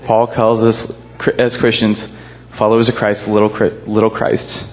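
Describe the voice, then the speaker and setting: Booming-voiced young man, earnest testimony. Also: completely flat delivery, moderate pace, highs cut off, faint music behind. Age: young adult. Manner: earnest, jokes about himself, laughs nervously. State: shaky with emotion. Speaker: college student sharing a testimony. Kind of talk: church group testimony.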